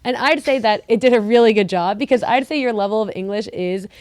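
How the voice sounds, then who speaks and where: A young woman from Mexico co-hosting a casual chat podcast, telling her stories in a chatty, animated way. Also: a little high, brisk, dry recording. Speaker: podcast co-host.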